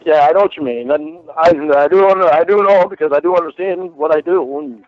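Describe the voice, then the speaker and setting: A man with a stutter talking casually in a halting, defensive way. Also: fairly high pitch, dry recording. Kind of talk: casual phone conversation. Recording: tinny phone-like line, music behind.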